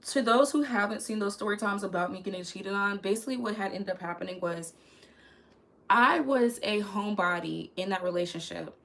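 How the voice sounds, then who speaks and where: A woman's voice: mid-range voice, vocal fry, fairly bright tone. Chatty young woman with a crackly voice. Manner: chatty, candid, talks to viewers as "y'all". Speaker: YouTuber telling a story. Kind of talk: casual storytime vlog.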